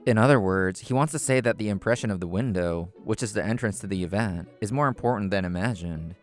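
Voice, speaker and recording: Low-voiced man narrating a scripted story over music. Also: fast talker. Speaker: narrator and main character of a manga video. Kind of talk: scripted first-person narration.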